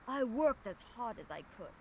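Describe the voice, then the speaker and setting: A woman's voice: on the high side, very animated, moderate pace, a little mellow, a little hiss or hum, rough recording. An actress playing a proud, fierce young woman enforcer, delivering a solemn, controlled dramatic monologue for a video game audio log. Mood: resolute.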